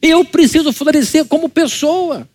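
A man's voice: very high voice, normal speed, darkish tone, no echo. A male pastor with a Brazilian Portuguese accent delivering a conversational church sermon, firing rhetorical questions at his congregation. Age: adult, married.

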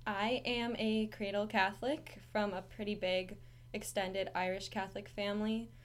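A twenty-one-year-old woman talks in a friendly, upbeat way while answering interview questions. Her voice sits at an ordinary middle pitch. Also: level tone, moderate pace.

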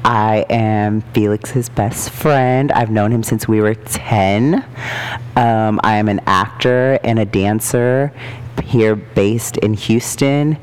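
A woman's voice: very deep voice, slow, darkish tone, smooth. A woman in her twenties, an actor and dancer, introducing herself breezily. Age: 20s. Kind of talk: casual group chat.

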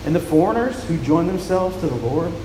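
A soft-spoken man preaches into a handheld mic, his voice sitting a little high for a man. He often repeats words as he feels his way through each point. The sound rings around a large, echoing hall with steady background noise. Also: a little fast. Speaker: pastor. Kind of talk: sermon with scripture reading. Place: church with a large echoing room. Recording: echoey, reverberant hall with noise.

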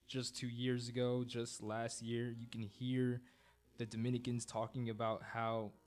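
A man's voice: medium pitch, completely flat delivery, a little slow, a little mellow. Matter-of-fact male podcast co-host. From New Jersey. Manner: matter-of-fact, shares news and personal experience. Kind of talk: casual podcast discussion.

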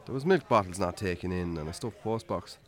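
Tired lonely irish farmer, plain talking, wry, natural radio play style. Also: male voice, low-pitched, expressive, a little fast. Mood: sombre, matter-of-fact. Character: weary lonely Irish farmer, muttering to his cattle, plain-spoken. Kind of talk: naturalistic radio drama acting.

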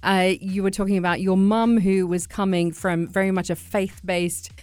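A woman's voice: mid-range voice, level tone, normal speed, darkish tone, smooth, no echo. A woman hosting a radio interview show, introducing and questioning a guest in an enthusiastic way with some fillers. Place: radio studio.

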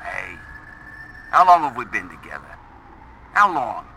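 An actor playing a blustery, loyal older grandfather and fixer, brisk, emphatic and wry, in an audio drama. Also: lowish voice, big pitch swings, gravelly, bright, forceful tone, slight background noise, heavily acted. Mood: firm, loyal. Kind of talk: naturalistic audio drama acting.

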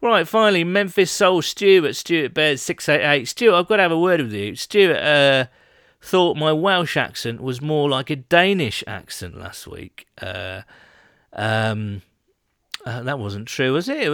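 A man's voice: on the high side, big pitch swings, a little slow, fairly bright tone, no echo. Giggly man on a dry mic. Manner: giggly, rambling, reads listener questions. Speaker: podcast co-host. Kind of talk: casual chat.

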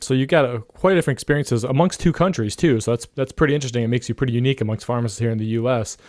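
A man's voice: mid-range voice, very fast, a little mellow, dry recording, clean studio sound. A man hosting a podcast interview in a casual, affirming, conversational way. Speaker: podcast host.

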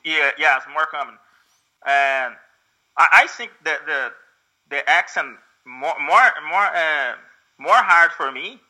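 A Brazilian man with a Portuguese accent talks in English, his native Portuguese showing through. His voice sits a little high and sounds bright and sharp. He hesitates constantly, with fillers and restarts as he searches for words. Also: takes it slowly, low-quality recording. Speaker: guest, English learner. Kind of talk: casual conversation.

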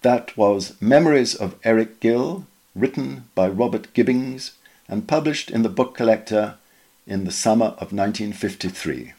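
A man with a lowish voice reads scripted prose for a podcast. His delivery is measured and articulate, like a careful narrator of a printed memoir. He leans on key phrases with expressive emphasis. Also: husky. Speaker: reader of a magazine article for a podcast.

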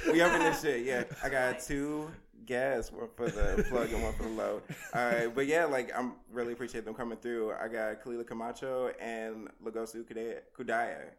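A man hosting a casual podcast, laid-back and slangy, laughing often between remarks. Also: medium pitch. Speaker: podcast host. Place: Los Angeles.

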